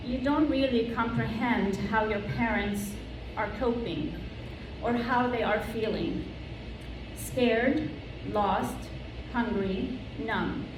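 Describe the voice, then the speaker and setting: A woman in her fifties speaks slowly and earnestly, reading a prepared talk to her club. Her voice sits a little high. She is picked up from across an echoey meeting room, with steady background noise behind her. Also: distant mic. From Lebanon. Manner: slow, earnest, read from notes. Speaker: club member giving a talk. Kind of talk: prepared personal speech.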